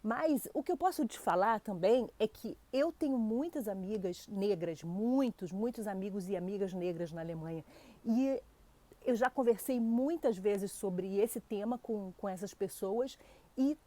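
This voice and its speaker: Mellow Brazilian woman chatting to camera. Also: medium pitch, measured pace. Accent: Brazilian Portuguese. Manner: chatty, talks straight to viewers, many fillers. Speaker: channel host answering a viewer question. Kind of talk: casual talk to camera.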